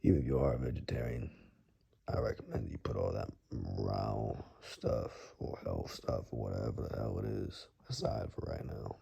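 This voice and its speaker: A man acting as a gruff, protective woodsman in an ASMR roleplay, with an extremely low, gravelly voice. He murmurs frankly and cautiously, often slipping into a husky, very breathy whisper. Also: big pitch swings, normal speed, mellow, heavily acted. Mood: practical, reassuring.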